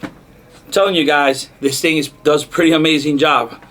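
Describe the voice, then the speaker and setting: A man's voice: on the high side, steady tone, normal speed, fairly bright tone. A man hosting a casual product unboxing, talking enthusiastically to viewers in short, punchy, repeated phrases. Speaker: host of product unboxing videos. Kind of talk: casual hype product demo to camera.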